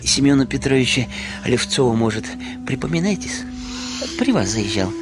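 An actor in a radio drama playing a drunk, servile middle-aged petty official, spiteful and needling, with obsequious hissing word endings and sly rambling talk. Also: male voice, mid-range voice, animated, moderate pace, lightly acted. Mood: sly, insinuating. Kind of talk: Russian classic radio drama acting.

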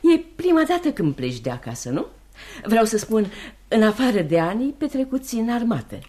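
A woman's voice: fairly high pitch, very animated, mellow. An actress playing a middle-aged, genteel and chatty landlady, effusively hospitable, in Romanian radio theatre. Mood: welcoming.

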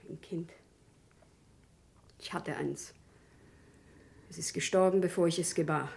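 An actress playing an impassioned, defiant shopkeeper's wife in Paris, pleading dramatically in a classic German radio play. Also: female voice, fairly low pitch, fairly even intonation, a little slow, vocal fry, darkish tone, slight background noise. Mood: sorrowful, quiet.

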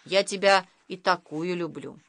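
A woman's voice: lowish voice, measured pace, a bit bright, no room sound. A woman playing a fretful storybook dad. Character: worried dad, company director.

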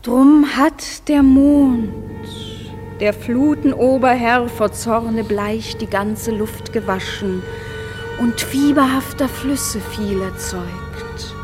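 An actress playing a proud, indignant fairy queen, declaiming verse in a grand, deliberate way for a radio play. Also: fairly high pitch. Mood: grave, accusing. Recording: music behind the voice.

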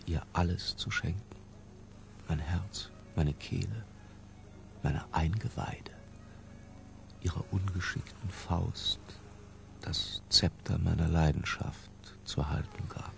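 An actor playing an imprisoned man recalling an obsession in a hushed, lingering literary radio drama narration. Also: deep voice, creaky, a little hiss or hum, heavily acted. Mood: hushed, sensual. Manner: hushed, lingering, sometimes falling to a whisper. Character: Humbert, imprisoned man confessing his obsessive boyhood love.

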